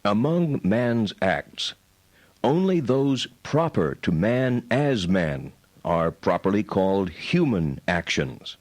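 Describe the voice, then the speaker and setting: Low-voiced learned, deliberate male philosopher. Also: very animated, dry recording, exaggerated voice. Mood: didactic, deliberate. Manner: deliberate, precise, didactic. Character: learned medieval male philosopher reading out his treatise. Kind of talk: formal dramatized reading of philosophical text.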